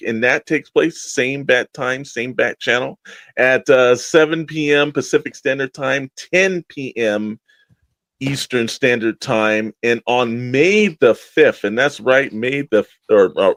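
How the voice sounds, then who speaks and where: A man's voice: medium pitch, dry recording. A man with a middle-range voice co-hosts a podcast in an enthusiastic, informal style. He rambles through promotional chat as if talking to friends. The sound is dry and close, with very little room in it. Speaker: podcast co-host.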